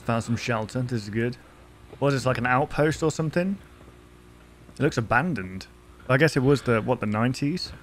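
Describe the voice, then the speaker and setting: A man's voice: medium pitch, animated, normal speed, long pauses, fairly bright tone. A man, giving casual, wry running commentary into a close microphone. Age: 20s. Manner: wry, musing asides. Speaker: reaction video host. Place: small bedroom studio indoors. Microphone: close desk microphone. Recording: close mic, film audio noise behind.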